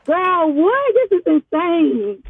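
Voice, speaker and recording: A woman's voice: very high-pitched, big pitch swings, slow, dry recording. Flustered young woman on a phone line. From Louisiana. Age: 20s. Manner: flustered, evasive, slangy. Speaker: caller. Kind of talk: casual phone chat. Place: phone call from a parked car. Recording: muffled, phone-like call audio.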